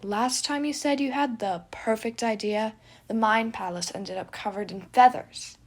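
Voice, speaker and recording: A woman's voice: a little high, moderate pace, lightly acted. A woman voicing a proud, theatrical young man who bickers with dramatic, dry retorts in an audio story. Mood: wry.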